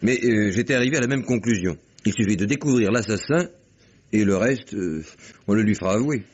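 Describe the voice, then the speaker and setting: An actor playing a shrewd, wry police commissioner in an old radio drama, measured and ironic. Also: male voice, on the low side, breathy edge. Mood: reasoning. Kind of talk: 1950s French radio drama acting. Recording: dull old radio recording.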